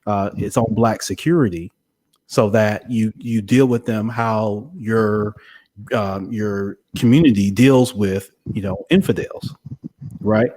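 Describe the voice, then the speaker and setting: A man's voice: fairly low pitch, slow pace, darkish tone. A man hosting a casual, conversational interview in an informal way. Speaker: interview host.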